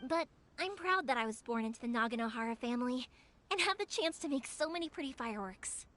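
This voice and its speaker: A woman playing a cheerful, warm-hearted young firework maker in a video game, chatty and sincere, with a girlish voice. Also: a little high, lively intonation, quick pace, a little hiss or hum. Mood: earnest, reflective. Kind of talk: video game character acting. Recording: music behind the voice.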